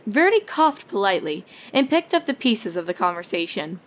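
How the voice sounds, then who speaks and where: A woman's voice: medium pitch, big pitch swings, brisk. A woman reading scripted narration of a comic story as the storyteller.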